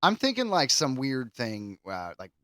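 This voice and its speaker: Male podcast co-host, mid-pitched, casual and rambling, jokey speculation, close dry sound. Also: huge pitch swings, a little slow, pushed and bright, no room sound. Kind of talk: casual chat.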